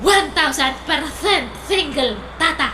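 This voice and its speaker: A woman plays an emotional single young woman, half laughing and half weeping. Her voice is high and bright. It rings in an echoey room over an audible layer of noise. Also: animated, slow, exaggerated voice. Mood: dramatic. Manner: laughing, teary, dramatic delivery. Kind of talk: radio drama acting.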